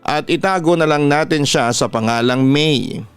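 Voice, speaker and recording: Smooth Filipino male storyteller over music. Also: fairly high pitch, normal speed, dry recording. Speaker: radio announcer and story host. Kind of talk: scripted first-person story narration. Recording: music playing behind the voice. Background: background music.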